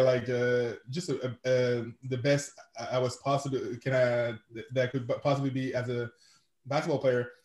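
A man's voice: mid-range voice, a little mellow, reverberant. A man from Quebec City with a Québécois French accent, French as his first language, talking casually as an interview guest with many restarts. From Quebec City, Canada. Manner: rambling, many restarts and fillers. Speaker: guest, sport founder and coach.

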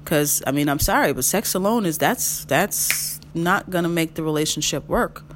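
A woman in her mid-thirties hosting a solo podcast, giving emphatic advice, repeating her key phrases and swinging her pitch when she makes a point. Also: expressive, moderate pace, slight background noise. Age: 30s. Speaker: podcast host.

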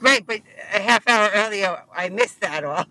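A hoarse man, chatting as a passenger in a car and laughing often. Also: sits high, moderate pace, pushed and bright, a little hiss or hum, poor audio. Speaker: rideshare passenger. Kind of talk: casual chat. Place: inside a car.

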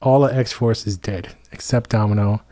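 A man's voice: on the low side, fairly even intonation, moderate pace, dark tone. A man co-hosting a casual movie podcast, laid-back and dry, with a teasing streak. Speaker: podcast co-host.

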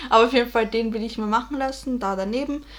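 A woman's voice: fairly high pitch, lively intonation, normal speed, a bit bright. A young woman, chatting casually and laughing often. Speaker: co-host. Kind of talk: casual chat.

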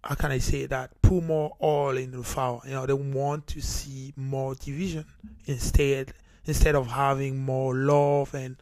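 A man's voice: medium pitch, little pitch movement, unhurried, darkish tone, dry recording. A man from Cameroon hosting his own talk show, speaking earnestly with many fillers and restarts. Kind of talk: solo podcast monologue. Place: United Kingdom.

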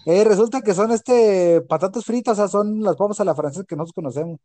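A middle-aged man from Jalisco with a Mexican accent, chatting casually and telling animated stories full of crude slang. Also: sits high, fast talker, no echo. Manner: animated storyteller who acts out quotes, with crude slang. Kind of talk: casual chat.

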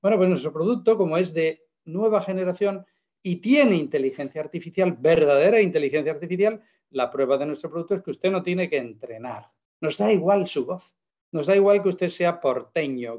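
A man explains his point with emphatic, persuasive energy, firing off rhetorical questions as he goes. His voice sits a little high, and the recording sounds dull and lo-fi. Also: a little mellow. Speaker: guest presenting a product. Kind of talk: casual interview.

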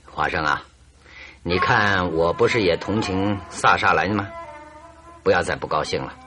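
An actor playing a shrewd, confident detective in a radio drama, reasoning aloud in a theatrical, emphatic and deliberate way. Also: male voice, deep voice, over music, lightly acted. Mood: amused, teasing. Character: shrewd, confident male detective, stern yet teasing. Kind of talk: Chinese radio drama acting, expository monologue.

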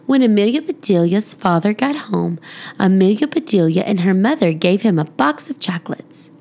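A woman reading a children's story aloud as expressive narration for young listeners. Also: mid-range voice, gravelly. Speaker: podcast storybook reader.

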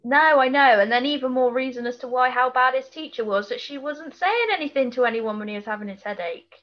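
A woman's voice: high-pitched, a little fast. A British woman chatting casually as a podcast co-host, on a slightly lo-fi recording. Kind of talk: casual chat.

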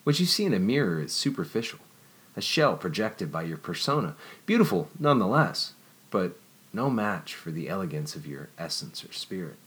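A man reading aloud, voicing a calm, courtly male ghost in a low, soft, measured voice. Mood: serene.

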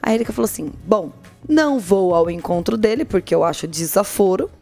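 A woman's voice: mid-range voice, lively intonation, fairly quick, a little mellow. Brazilian woman telling playful stories over music. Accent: Brazilian Portuguese. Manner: colloquial, playful, acts out quoted dialogue. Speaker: podcast host telling listeners' dating stories. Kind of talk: casual comic storytelling chat.

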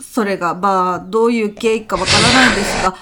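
A woman's voice: medium pitch, fast, very noisy, natural voice. A woman playing a polite, dutiful office employee briefing her boss in a comedic audio drama, with a businesslike, deferential manner. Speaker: former stage troupe actress.

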